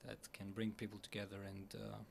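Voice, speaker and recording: A man's voice: on the low side, monotone, brisk, vocal fry, a little hiss or hum. A young man hosting a casual podcast interview at a conference, enthusiastic, with many fillers and restarts. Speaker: podcast host. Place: busy conference hall.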